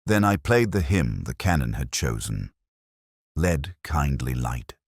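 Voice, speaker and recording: A man reading a scripted first-person detective mystery in a measured, brooding, literary manner. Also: a bass voice, expressive, slightly rough, dry recording, clean studio sound. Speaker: narrator.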